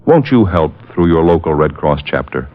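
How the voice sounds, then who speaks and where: A low-pitched American man announces from a script in 1950s radio style. The recording is dull and dated, with some music behind him. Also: sing-song, normal speed.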